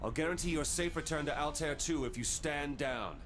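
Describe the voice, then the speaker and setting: An actor playing an earnest, determined younger military commander in a video game, speaking directly and plainly. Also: male voice, fairly high pitch, fairly quick, close to an everyday voice. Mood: urgent. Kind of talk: video game character acting. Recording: loud music behind the voice.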